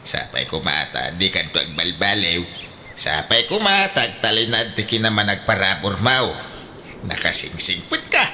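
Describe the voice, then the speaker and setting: A man's voice: low voice, big pitch swings, moderate pace, bright, forceful tone, a little hiss or hum, exaggerated voice. An actor with an Ilocano accent playing a teasing, blunt older father in a comedic radio drama, full of exclamations. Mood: jovial, teasing.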